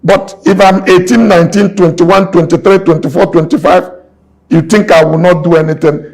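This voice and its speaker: Man, 60s, somewhat high voice, emphatic preacher-like delivery, businessman guest. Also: steady tone, moderate pace, creaky, darkish tone. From Nigeria. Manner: emphatic, preacher-like, repeats points. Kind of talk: impassioned interview talk.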